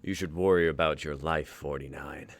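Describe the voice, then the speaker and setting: A man's voice: rumbling low, fairly even intonation, normal speed, dry recording. A man voicing a cruel, menacing executioner who taunts his victim, in a live dramatic reading. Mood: taunting. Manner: cold, taunting. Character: menacing male executioner, half-faced and cruel.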